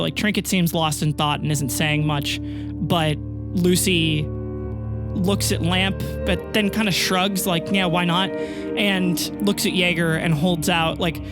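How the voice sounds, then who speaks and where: A woman game master improvising descriptive narration for a tabletop adventure. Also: on the low side. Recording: prominent music under the voice.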